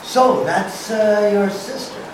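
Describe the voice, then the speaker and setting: A man playing a doting, flattering businessman sweetheart in a live screenplay reading. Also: high-pitched, slow. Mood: probing. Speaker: actor. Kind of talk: cold-read screenplay scene reading. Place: large room at a live staged reading. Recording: echoey hall, distant mic, noisy.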